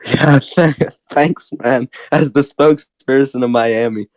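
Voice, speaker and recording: A hoarse young man doing excited post-game commentary, laughing often and breaking into shouts. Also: mid-range voice, measured pace. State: hoarse, lost voice.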